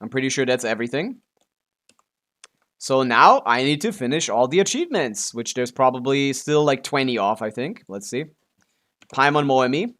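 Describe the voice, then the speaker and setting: A man's voice: mid-range voice, animated, moderate pace, fairly bright tone. A man chatting casually on a gaming livestream as the streamer, often muttering to himself and swearing offhandedly. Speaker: live streamer.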